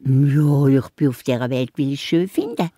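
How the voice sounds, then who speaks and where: A woman with a Swiss accent voicing a lively, naive, eager little magic mouse in a children's radio play. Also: rumbling low, moderate pace, breathy edge, no echo, studio quality, exaggerated voice. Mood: curious, cheeky. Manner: eager, questioning, stammering in excitement.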